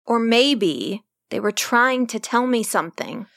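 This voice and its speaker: A woman's voice: a little high, animated, a little slow, fairly bright tone. A woman playing a wry, haunted, sleepless ER doctor who narrates a horror audio drama in the first person. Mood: agitated. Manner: wry, brooding, literary. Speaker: narrator and lead character.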